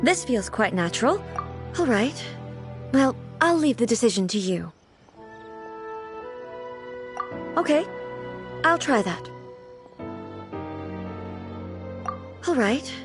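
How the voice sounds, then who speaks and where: A woman playing a dignified, reserved ruler in a video game, earnest and formal, with a measured delivery. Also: a little high, big pitch swings. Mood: self-conscious. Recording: music playing behind.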